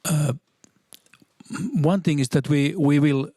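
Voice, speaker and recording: Halting Swedish-speaking man, dry sound. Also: a little high, warm tone, no room sound. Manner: halting, many restarts, earnest and persuasive. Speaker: audience member at a seminar. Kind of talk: spontaneous public comment.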